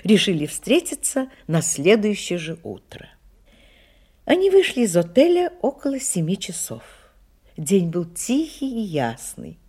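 A woman's voice: mid-range voice, huge pitch swings, measured pace, a little mellow, slightly rough, heavily acted. A woman narrating an audio drama from a script, in a storytelling style.

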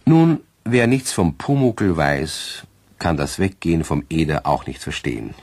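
A man narrating a radio play as a knowing, genial storyteller who speaks confidingly to the listener in a husky voice. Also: very deep voice, sing-song, a little slow, a little mellow, no room sound, exaggerated voice.

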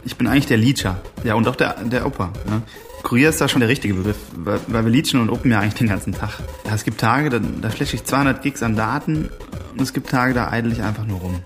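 An actor playing a self-important hacker courier, speaking in a casual, insistent monologue full of jargon and tag questions. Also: male voice, medium pitch, fast, lightly acted. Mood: proud, casual. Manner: colloquial, insistent, tags phrases with "ja?" and "ne?". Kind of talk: casual interview-like monologue in radio play. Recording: music behind the voice.

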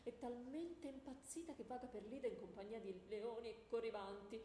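A woman's voice: on the high side, fairly quick, warm tone, lightly acted. A woman playing a scolding goddess mother who rebukes her mischievous child in comic stage radio theatre. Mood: scolding, exasperated. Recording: echoey hall with faint noise.

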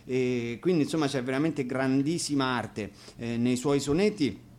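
A man casually introducing songs as a guest musician, explaining things with hesitant pauses. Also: mid-range voice, a little mellow. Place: radio studio.

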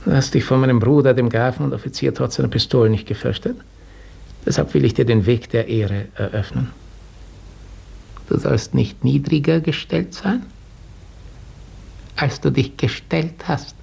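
An actor playing a condescending aristocratic count in a 1950s German radio drama, with a gravelly, husky voice and a measured, lordly manner. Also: male voice, mid-range voice, a little mellow. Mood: lordly, magnanimous. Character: condescending aristocratic count, master over serfs.

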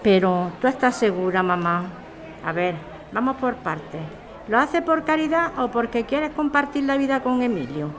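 With a crowd clearly audible behind, a woman plays a grown daughter in a radio serial. She has a Spanish accent from Spain and a fairly high voice, and she speaks with protective, questioning care. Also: animated, moderate pace, lightly acted. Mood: worried. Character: caring, doubtful grown daughter who runs a bar.

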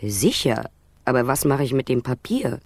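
An actress playing a cunning, cool scheming wife in a radio crime comedy. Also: female voice, a bass voice, big pitch swings, moderate pace, darkish tone, no echo, heavily acted. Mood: smug, conspiring. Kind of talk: radio drama acting.

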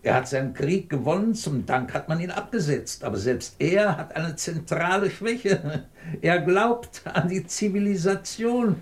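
A man's voice: on the high side, lively intonation, unhurried. An actor playing a cynical, ruthless British man of power in a German radio play, with a sardonic, cutting way of talking. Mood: scornful irony. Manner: sardonic, cutting, coldly provocative.

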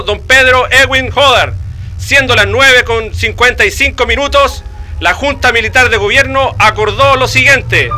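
Radio drama: formal, severe military official, very bright high male voice, faint music. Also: exaggerated voice. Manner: formal, declamatory. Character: stern, formal military junta official reading decrees.

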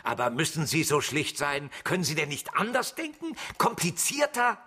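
An actor playing a proud, bitter, erudite betrayed husband in a German radio crime drama, articulate and disdainful, with a husky voice. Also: male voice, a little high, big pitch swings, moderate pace, bright, forceful tone. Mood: indignant. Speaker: actor playing a murder suspect.

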